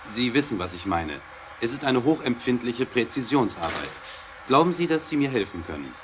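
A man's voice: medium pitch, sing-song, normal speed, background noise, natural voice. A man playing a courteous, supportive business client in a German radio play, polite and formal in his delivery. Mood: pleading, interested. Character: courteous, supportive gentleman client and would-be investor. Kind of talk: German audio drama acting.

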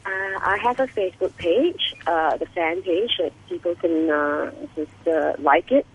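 A woman, a singer-songwriter guest talking on a radio interview by phone, halting and laughing often. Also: fairly low pitch, slightly rough. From Singapore. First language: English. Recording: muffled phone line.

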